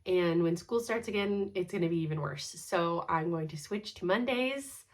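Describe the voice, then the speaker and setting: A woman's voice: lowish voice, moderate pace. A woman in her thirties with a congested, stuffed-up nose, chatting casually at home about her cross-stitch, rambling and laughing often. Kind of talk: casual chat.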